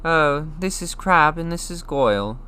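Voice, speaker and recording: A man's voice: a little high, expressive, a little slow, pushed and bright, clean tone, voice pushed up. A man voicing a snobbish, sneering young schoolboy wizard with a mocking drawl, reading a storybook. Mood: haughty curiosity.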